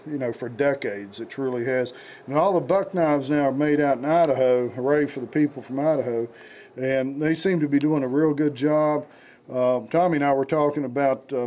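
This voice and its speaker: A man with a hoarse, raspy voice from the flu, hosting a casual knife show with rambling talk and many fillers. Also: mid-range voice, warm tone, a little hiss or hum, poor audio. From United States. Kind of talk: casual product demonstration.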